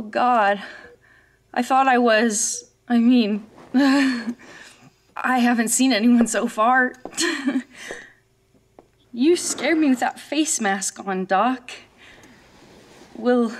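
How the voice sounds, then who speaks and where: An actress playing a frightened, shaken hospital patient in a horror audio drama, halting and anxious. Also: female voice, fairly high pitch, slight background noise.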